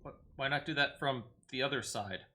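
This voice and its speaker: A man's voice: medium pitch, fairly quick, sharp tone. A man giving casual commentary as he plays a video game.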